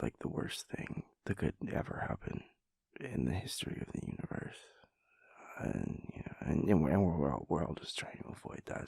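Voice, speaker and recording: Groggy, gravelly boyfriend, acted by a man. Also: medium pitch, a little mellow, no echo. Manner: groggy, stammering, hesitant, mock-serious. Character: sleepy, playful, chaotic boyfriend refusing to get up. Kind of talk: intimate audio roleplay, improvised rambling.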